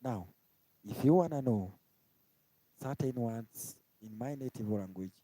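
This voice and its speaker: A man from Kenya with a Kenyan accent, giving a casual language lesson and slowly repeating each word. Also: mid-range voice, dark tone, dry recording, rough recording. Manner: slow, repetitive, spells out each word twice. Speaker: language teacher. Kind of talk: informal live-stream language lesson.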